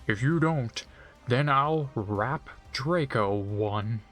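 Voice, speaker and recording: A man's voice: mid-range voice, very slow, a bit bright, faint music behind, voice pushed down. A man voicing a sneering, cruel male wizard villain in a comedic fan fiction reading, menacing and theatrical.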